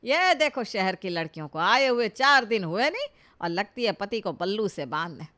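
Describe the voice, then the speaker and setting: Woman acting a sharp, nagging village mother-in-law. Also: medium pitch, sing-song, moderate pace. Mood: bitter grumbling. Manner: scolding, complaining, sarcastic. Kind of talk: Hindi animated family comedy story acting.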